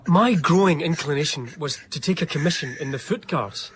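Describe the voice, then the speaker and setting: An actor playing an eager, earnest young eighteenth-century gentleman diarist in a radio drama, speaking in a formal, confiding, literary way. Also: on the high side, a little fast, natural voice. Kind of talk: radio drama narration and dialogue.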